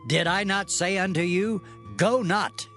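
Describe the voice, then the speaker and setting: A man playing a devout, solemn prophet in dramatized scripture, declaiming archaic lines fervently and deliberately. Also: sits high, fairly bright tone, faint music behind. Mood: firm. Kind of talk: dramatized scripture audio acting.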